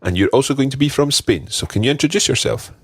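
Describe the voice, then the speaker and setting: A man's voice: mid-range voice, expressive, a little fast, husky, no echo, clean studio sound. A man teaching a podcast language lesson, patiently modelling pronunciation and repeating drills for a learner. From Scotland.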